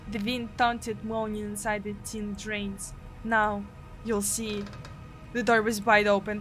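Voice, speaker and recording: A woman's voice: fairly high pitch, poor audio. A woman with a Russian accent, a native Russian speaker, dramatically narrating a visual novel as a streamer. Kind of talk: dramatic narration and live translation of game text.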